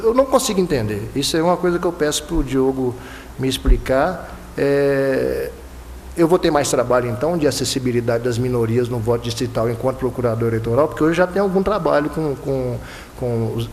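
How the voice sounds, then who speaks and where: A man from the Minas Gerais and Bahia border with that regional Brazilian accent, an electoral prosecutor arguing emphatically in a lecture-hall debate. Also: medium pitch. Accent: Brazilian Portuguese, Minas–Bahia border. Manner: emphatic, animated, bursts of rising indignation. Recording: long hall reverb, faint noise.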